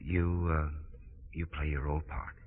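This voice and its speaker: An American actor playing an earnest, gentle theater director in 1940s radio style, with halting, hesitant phrasing. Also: male voice, very deep voice, level tone, slight background noise. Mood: earnest, pleading. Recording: muffled 1940s radio broadcast.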